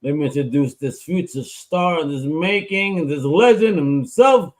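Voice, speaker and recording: New guy hosting a podcast, high voice, all hype and slang. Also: a little slow. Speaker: podcast host. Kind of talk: casual chat.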